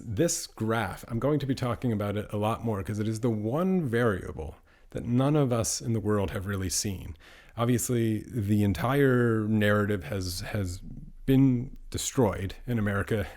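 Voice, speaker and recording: Podcast host, a man with a lowish voice, easygoing and talkative. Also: darkish tone. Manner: casual, chatty, with speeding-up asides. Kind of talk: podcast monologue and interview.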